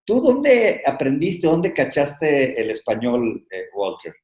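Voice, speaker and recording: Host in an echoey room. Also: male voice, mid-range voice, wildly swooping pitch, darkish tone. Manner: measured, listing points with pauses. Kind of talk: interview introduction.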